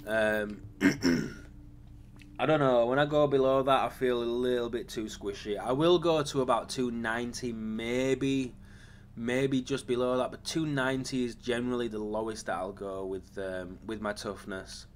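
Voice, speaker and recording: A man speaking at a mid-range pitch, his voice a little raspy from a lingering cough. He walks through his game setup in a relaxed, rambling way, pausing on ums and correcting himself. A faint hiss sits under the recording. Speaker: gaming channel host. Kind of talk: casual game build walkthrough.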